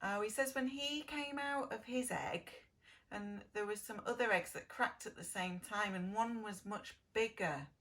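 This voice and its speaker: A woman teacher telling a story to preschool children in a sing-song, exaggerated way, gently asking them questions. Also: mid-range voice, a little slow. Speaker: preschool early years teacher reading a story.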